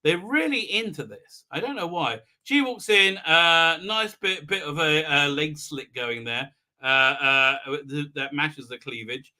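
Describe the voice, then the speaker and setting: A man hosting a fan review livestream, giving a rambling, halting, sarcastic recap with repeated words and exasperated outbursts. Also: on the high side, expressive, pushed and bright. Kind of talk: casual mocking episode recap.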